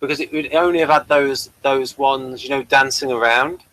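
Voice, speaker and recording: A man's voice: mid-range voice, fairly even intonation, moderate pace, pushed and bright, no room sound, low-quality recording. A British man co-hosting a casual review chat, speaking in a hesitant, inquisitive way. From United Kingdom. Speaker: podcast co-host reviewing a TV episode.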